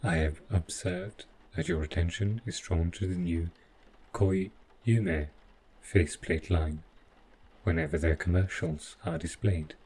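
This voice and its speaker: A man's voice: low voice, steady tone, a little slow, a little mellow, slightly rough. A man playing a polite, devoted robot butler in an audio drama, speaking in a formal, stiffly precise and deferential manner. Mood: troubled, probing. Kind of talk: scripted roleplay audio drama.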